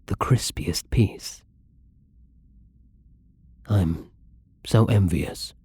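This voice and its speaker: Man as a soft, husky, melancholy vampire. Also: low-pitched, no room sound, full character voice. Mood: tender, wistful. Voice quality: soft, breathy, husky, often near whisper. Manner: slow, hesitant, brooding, tender. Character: melancholy young male vampire, gentle, lonely, tormented, once human. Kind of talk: intimate ASMR roleplay.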